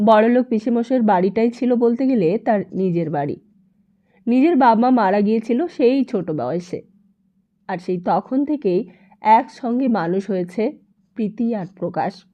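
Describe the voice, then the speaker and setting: A woman narrating a literary story as an audiobook, in an expressive, emphatic, dramatic way. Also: on the high side, dull audio.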